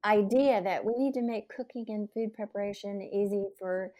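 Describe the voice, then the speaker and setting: A woman's voice: mid-range voice, normal speed, dark tone. Chatty woman in her sixties reminiscing. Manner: chatty, reminiscing, lots of fillers. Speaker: podcast host. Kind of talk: casual interview conversation.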